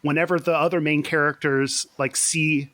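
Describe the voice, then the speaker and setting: A man from Houston co-hosting a podcast, chatting in a wry, animated way. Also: on the high side, moderate pace. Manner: chatty, wry, animated when making a point. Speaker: podcast co-host. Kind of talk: casual chat.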